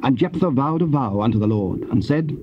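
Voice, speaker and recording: A man narrating scripture from a script in a solemn, measured and formal way. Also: medium pitch, animated. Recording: muffled old cassette, faint hiss.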